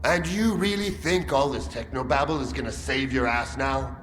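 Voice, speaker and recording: An actor playing an abrasive, furious military colonel in a video game cutscene, profane and full of contempt. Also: male voice, mid-range voice, normal speed, fairly bright tone, a little hiss or hum, close to an everyday voice. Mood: scornful.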